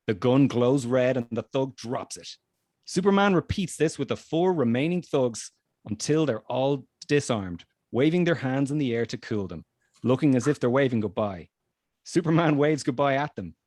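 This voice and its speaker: Mid-pitched man narrating a script. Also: expressive, normal speed, darkish tone, no echo, studio quality. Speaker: narrator reading stage directions. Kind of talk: scripted narration at a table read.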